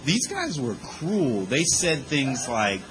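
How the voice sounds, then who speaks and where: A man telling a humorous personal story to a group in a lively, conversational way, with quick asides. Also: medium pitch, poor audio. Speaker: speaker sharing his recovery story.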